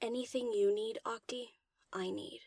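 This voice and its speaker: A woman's voice: a little high, fairly even intonation, moderate pace, darkish tone, no room sound, studio quality, lightly acted. A woman voicing a laid-back, affectionate DJ pony in an audiobook, with a casual, slangy, teasing manner. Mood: caring, gentle. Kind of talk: audiobook character acting.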